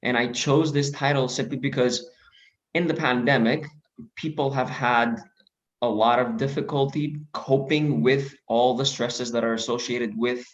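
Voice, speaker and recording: A man from Greece walks through a presentation over a video call, in a measured way that is full of fillers and restarts. He has a mid-range voice. The audio is dull and lo-fi. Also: mid-range voice, steady tone. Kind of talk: online presentation.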